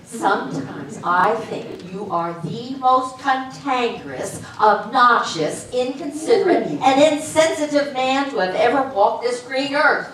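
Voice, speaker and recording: A loyal but exasperated secretary played by a woman, prim and reproving in readers theater. Her slightly high voice comes from far off in a very reverberant hall. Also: lively intonation, measured pace, hardly any pauses, a bit bright. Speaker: amateur stage actor. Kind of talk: readers theater stage performance. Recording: echoey hall, distant mic.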